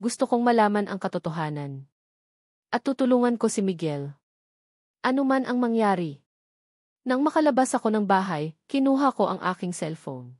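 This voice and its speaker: A woman's voice: mid-range voice, very animated, normal speed, long pauses, a little mellow, no echo, studio quality. A woman with a Filipino accent narrates a scripted romance drama in the first person, reading dialogue and narration in the same voice. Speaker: story narrator.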